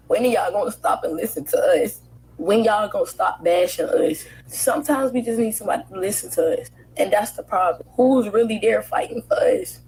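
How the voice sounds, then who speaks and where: A woman's voice: medium pitch, darkish tone, slightly rough, poor audio. A woman giving an impassioned monologue in a weary voice, repeating herself.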